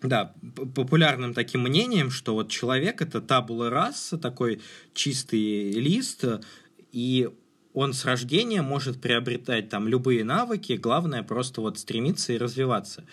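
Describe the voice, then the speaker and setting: A man's voice: mid-range voice, animated, normal speed, fairly bright tone, breathy edge. A man co-hosting a podcast, discussing film in an analytical, conversational way, hesitating as he searches for words. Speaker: podcast co-host.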